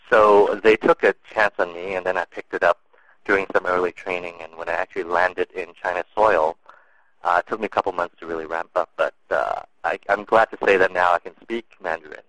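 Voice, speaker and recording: A man's voice: sits low, fairly bright tone, dry recording, rough recording. A man answering interview questions in a casual, thoughtful way, with many fillers and restarts. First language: English and Cantonese. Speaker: interview guest.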